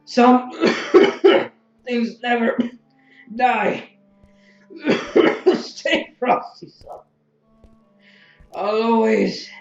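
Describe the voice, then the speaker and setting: A woman's voice: fairly high pitch, expressive, very slow, pauses often, heavily acted. Woman acting echoey, weak, sardonic dying prisoner. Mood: weak, fading. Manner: sardonic, weary. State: weak, coughing. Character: dying prisoner, wry, bitter and weary. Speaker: voice actor. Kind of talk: audio drama acting. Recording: echoey, dull, faint music behind.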